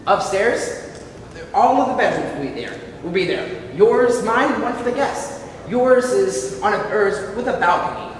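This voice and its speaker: A man playing an earnest, anxious dreamer in an amateur stage play, with a theatrical, excitable delivery. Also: high voice, a little slow. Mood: wistful, impatient. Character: earnest, hopeful, anxious dreamer longing for a house. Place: indoor hall, recorded from far off. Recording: very echoey hall, distant mic, faint noise.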